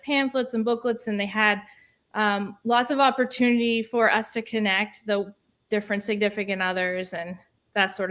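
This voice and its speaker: A woman's voice: medium pitch, fairly bright tone. A woman in her thirties from Maine with a Maine accent, chatting as a guest author on a live video call, laughing easily and rambling. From Maine, USA.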